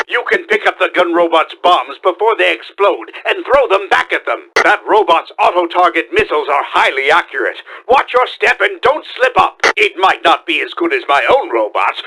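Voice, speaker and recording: A man voicing a pompous, boastful mad scientist villain in a video game, with a gravelly, forceful voice and theatrical, commanding delivery. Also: sits high, heavily acted, poor audio. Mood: lecturing, boastful. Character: boastful, scheming middle-aged male mad scientist villain, bombastic.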